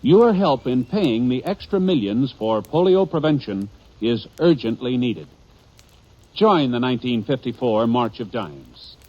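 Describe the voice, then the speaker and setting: A man's voice: mid-range voice, animated, unhurried, darkish tone. A man announcing on 1950s radio in a formal, earnest, emphatic scripted style. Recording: dull 1950s radio recording.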